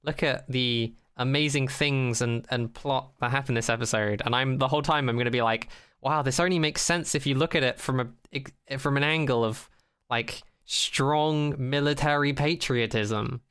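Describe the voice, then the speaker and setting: Bright, clear-voiced skeptical male podcaster. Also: mid-range voice, fairly even intonation, normal speed. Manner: skeptical, opinionated. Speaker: podcast co-host. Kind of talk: casual unscripted podcast chat.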